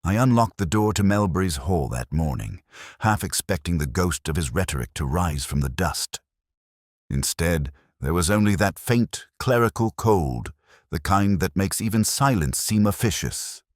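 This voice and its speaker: A man reading scripted first-person narration for a detective mystery in a measured, literary, reflective manner. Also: deep voice, lively intonation, dry recording, clean studio sound.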